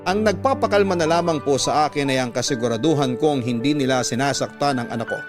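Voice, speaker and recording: A man's voice: medium pitch, fast. Filipino male radio storyteller over music. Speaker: radio drama narrator reading letters. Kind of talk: scripted first-person story narration. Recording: prominent instrumental music under the voice.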